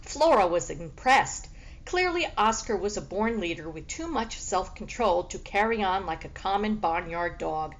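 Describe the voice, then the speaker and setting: A woman reading a children's chapter book aloud as a teacher would, in expressive storytelling narration. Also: medium pitch, moderate pace, low-quality recording.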